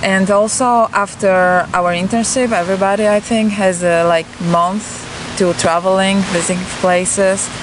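A young woman from Poland with a Polish accent, a farm intern giving brisk, matter-of-fact interview answers outdoors. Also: mid-range voice, steady tone, background noise.

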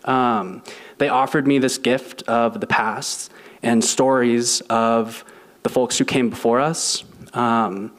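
A man in his twenties sharing a personal story at a church lectern, thoughtful and halting with frequent ums and restarts. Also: mid-range voice, fairly even intonation, a bit bright. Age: 20s. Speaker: guest speaker. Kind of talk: personal testimony at a service. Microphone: lectern mic.

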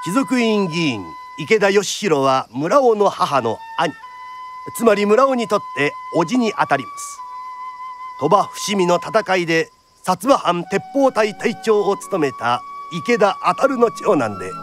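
A man's voice: high-pitched, very animated, a little slow, over music. A man narrating a period radio drama like a theatrical storyteller.

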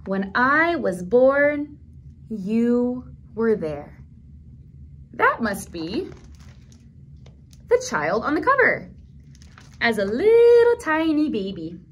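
A woman in her thirties reads aloud to toddlers in a gentle, simple way. Her voice sits fairly high and swings expressively up and down. She takes her time with each phrase, recorded in a small indoor room. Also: sing-song, extremely slow, long pauses, slightly rough. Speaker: children's librarian, storytime host. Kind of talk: toddler storytime, read-aloud with commentary.

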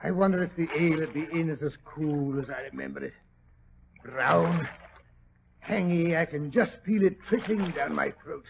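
An actor playing a portly, good-natured, grumbling British gentleman doctor in a 1940s radio drama, blustery and hesitant with a gravelly voice. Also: fairly high pitch, moderate pace, crowd noise. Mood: grumbling, wistful. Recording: muffled, hissy 1940s radio recording.

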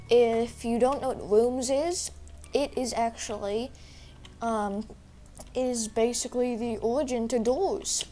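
A woman's voice: on the high side, a little mellow. A young woman who is sick, talking through a game walkthrough in a rambling, hesitant way with lots of fillers. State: sick, voice a little rough. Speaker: gaming YouTuber. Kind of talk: casual gameplay walkthrough.

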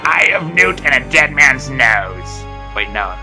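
A man's voice: medium pitch, big pitch swings, takes it slowly, gravelly, over music, exaggerated voice. A man voicing a moody old ape sorcerer in an amateur cartoon parody, rambling theatrically and bossing others around. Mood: grumbling, dramatic. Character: cranky, moody old male ape who dabbles in magic.

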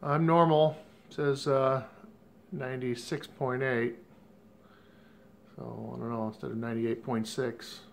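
A man in his fifties, congested and a little rough, talks in a halting, low-key home video diary, filmed on a phone at arm's length in his living room. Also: level tone. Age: 50s. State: congested, stuffed up. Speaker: vlogger. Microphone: phone held at arm's length.

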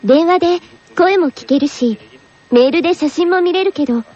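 Very high-voiced sweet young girl. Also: normal speed. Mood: tender longing. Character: sweet young girl longing to walk hand in hand. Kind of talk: game commercial voice acting. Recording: muffled radio with loud music behind.

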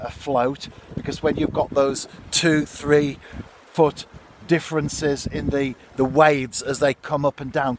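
A man's voice: medium pitch, noisy background. A man in his fifties, filming himself on seaside rocks with a phone at arm's length, chatting unhurriedly to his viewers about his day. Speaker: fishing vlogger. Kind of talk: casual outdoor narration to camera. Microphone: phone camera at arm's length.

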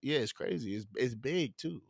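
A man's voice: medium pitch, lively intonation, moderate pace, vocal fry, slightly rough. A man, hosting a casual podcast interview, talking loosely with many fillers and restarts on a studio mic. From southern United States. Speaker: podcast host. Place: home studio. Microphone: studio mic with headphones.

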